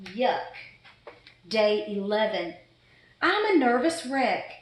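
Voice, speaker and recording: A woman's voice: mid-range voice, sing-song, takes it slowly. A woman voicing an exasperated, fussy pet goldfish in a dramatic children's storybook read-aloud. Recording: echoey room.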